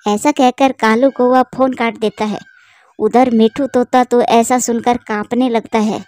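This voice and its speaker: A woman narrating a children's cartoon story in a steady, plain storytelling manner. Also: fairly high pitch, unhurried.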